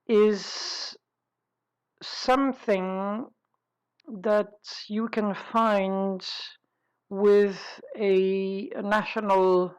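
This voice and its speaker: Female webinar presenter, medium-pitched voice, informal and explanatory, deliberate with pauses and rephrasing. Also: clear, resonant tone, poor audio.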